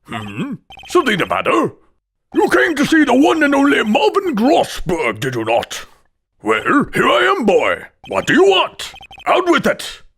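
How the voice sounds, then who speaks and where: A man's voice: very high voice, huge pitch swings, a little slow, a bit bright, slightly rough, full character voice. A man voicing a pompous, blustering older male lawyer in a video game fan dub, theatrical, evasive and old-fashioned in his phrasing. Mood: pompous, imperious.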